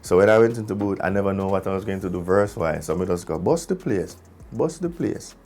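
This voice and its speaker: Deep-voiced Jamaican man telling stories. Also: lively intonation, quick pace, a little mellow. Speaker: musician, singer in a group. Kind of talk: casual storytelling interview.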